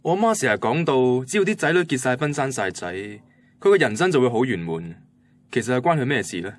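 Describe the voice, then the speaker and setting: A man's voice: medium pitch, big pitch swings, fairly quick, close to an everyday voice. A man with a Hong Kong Cantonese accent playing an earnest, reserved young professional in a radio drama. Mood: reflective. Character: earnest, reserved young male architect turned organic farming manager. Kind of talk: radio drama narration and dialogue.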